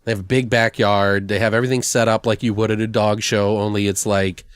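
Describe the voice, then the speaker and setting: A man with a fairly low voice chats loosely as a podcast co-host, joking and swearing as he throws out ideas. The sound is close and dry. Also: flat delivery, brisk, clean tone, no room sound. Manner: foul-mouthed, joking. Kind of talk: casual brainstorming chat.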